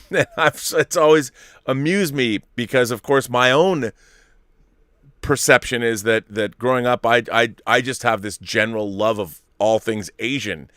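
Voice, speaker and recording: A man's voice: mid-range voice, measured pace, a bit bright, no echo, studio quality. A middle-aged man hosting a live stream, reading viewer messages and rambling through opinions with many restarts. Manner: rambling, self-interrupting, opinionated. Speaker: host reading viewer super chats. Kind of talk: casual live-stream commentary.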